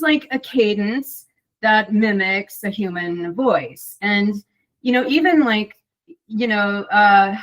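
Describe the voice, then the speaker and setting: A woman's voice: mid-range voice, a bit bright, poor audio. Chatty woman narrator, lots of fillers. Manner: talkative, many fillers and restarts. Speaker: audiobook narrator and voice actor, guest. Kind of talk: casual interview chat.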